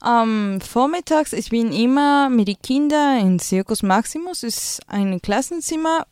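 A woman's voice: a little high, lively intonation, measured pace, a little mellow, clean tone, dry recording, studio quality. A young woman with a Peruvian Spanish accent, a native Spanish speaker, answering in a casual interview while searching for her German words. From Peru. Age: young adult. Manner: hesitant, searching for German words with many fillers. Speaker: guest, school volunteer.

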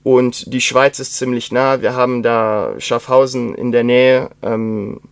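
A man's voice: medium pitch, fairly even intonation, measured pace, vocal fry, clean tone, no echo. Rambling young man, Swabian-tinged German. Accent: German with Swabian traces. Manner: rambling with many fillers and restarts. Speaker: interview guest. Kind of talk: casual conversation.

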